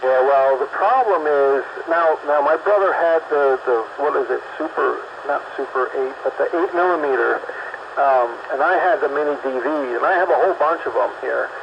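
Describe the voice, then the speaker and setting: A man with a gravelly, somewhat high voice rambles hesitantly, stopping and restarting his sentences. He comes through a dull, lo-fi line with steady noise behind him. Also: moderate pace. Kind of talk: casual chat.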